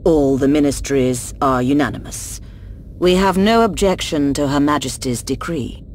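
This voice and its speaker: A deferential, calculating court minister in a video game drama. Her low voice is formal and deliberate. Faint ambience sits behind her. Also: expressive, darkish tone, a little hiss or hum. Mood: composed, deferential.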